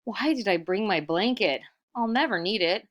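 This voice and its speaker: Woman reading as vain trickster man, storybook character, self-important, theatrical, raised pitch. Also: animated, moderate pace, fairly bright tone. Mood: pompous. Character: vain, boastful young trickster man in a folk tale.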